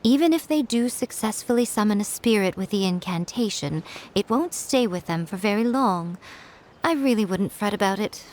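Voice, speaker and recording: A woman's voice: medium pitch, expressive, normal speed, background noise, natural voice. A woman voicing a sly, elegant female fox spirit who runs a shrine, speaking in a teasing, languid, self-assured way. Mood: amused. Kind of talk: game voice acting.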